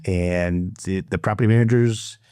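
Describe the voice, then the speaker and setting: Massachusetts-accented man, low voice, dry sound. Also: fairly even intonation, normal speed, dark tone, clean tone, no echo. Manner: storytelling, restarts, playful teasing. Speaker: podcast guest, property management owner. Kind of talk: casual interview chat.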